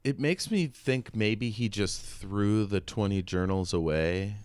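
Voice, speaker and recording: A man's voice: on the low side, takes it slowly, a little mellow, clean tone, no room sound. A man co-hosting a podcast, chatting casually about a book. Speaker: podcast co-host. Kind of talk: casual book discussion chat.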